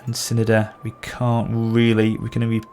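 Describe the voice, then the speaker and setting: A man's voice: on the low side, monotone, normal speed, a little mellow, a little hiss or hum. Man with a sore throat, muttering along. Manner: thinks aloud, self-correcting, muttering to himself. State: sore throat with occasional coughing. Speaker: gameplay channel host. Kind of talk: casual strategy-game commentary.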